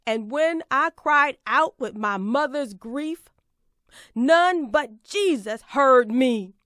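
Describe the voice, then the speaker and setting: A woman's voice: sits high, expressive, slow pace, a bit bright, no room sound, studio quality, heavily acted. A woman performing a speech as a fierce, plainspoken aging woman orator, speaking in folksy rhetorical questions that rise to emphatic refrains. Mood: defiant. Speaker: reader performing a historical speech. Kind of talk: dramatic recitation of an 1851 speech.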